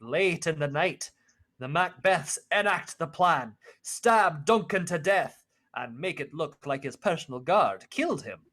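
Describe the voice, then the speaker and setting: A man's voice: on the high side, expressive, unhurried, fairly bright tone. A man narrating a radio play in a plain storytelling manner.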